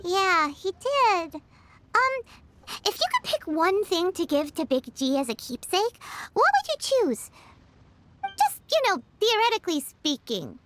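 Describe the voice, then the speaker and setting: A woman's voice: sing-song, unhurried, a bit bright, a little hiss or hum, exaggerated voice. A woman voicing a tiny, cheeky, childlike female fairy companion who talks about herself in the third person. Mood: chirpy. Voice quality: high squeaky childlike voice. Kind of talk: video game voice acting.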